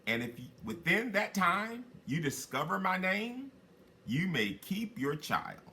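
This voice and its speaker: Man putting on a sly little storybook imp, swooping pitch. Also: very animated, measured pace, pushed and bright, exaggerated voice. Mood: relenting. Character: bargaining little magical man, insistent and sly.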